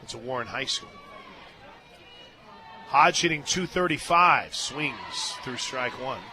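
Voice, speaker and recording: A man doing live radio play-by-play of a softball game, mixing commentary with casual banter from a booth at the ballpark. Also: medium pitch, slow, a crowd in the background. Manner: bursts into excited shouts on big plays. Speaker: play-by-play sports radio announcer.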